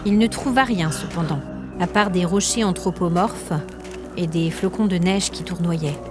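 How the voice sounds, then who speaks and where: Woman storyteller, lowish voice, reading scripted fiction in a literary way, music underneath. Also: normal speed. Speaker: narrator.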